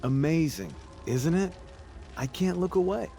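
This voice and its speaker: Solemn dream father, middle-aged, very slow measured delivery, philosophical, video game drama, noisy ambient backdrop. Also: on the high side, lively intonation, vocal fry, dark tone. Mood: entranced.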